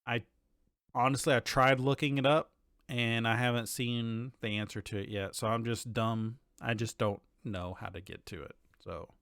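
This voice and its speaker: A man co-hosting a casual gaming podcast, talking in a halting, laid-back and sometimes sweary way. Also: medium pitch, fairly even intonation, vocal fry, fairly bright tone, no room sound, studio quality. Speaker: podcast co-host.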